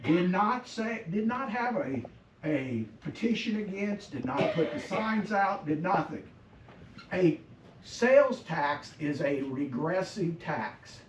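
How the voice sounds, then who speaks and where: An older man with a loud voice speaks at a public school board meeting, slow and emphatic, repeating his points. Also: sits high, sing-song. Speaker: former school district employee speaking at a board meet and greet. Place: school district office lobby at a public meeting. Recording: roomy echo, distant mic, faint background noise.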